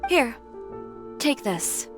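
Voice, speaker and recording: An actress playing a confident, sharp-tongued young fashion designer in a video game, dry, measured and self-assured. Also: female voice, a little high, sing-song, over music, exaggerated voice. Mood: sincere.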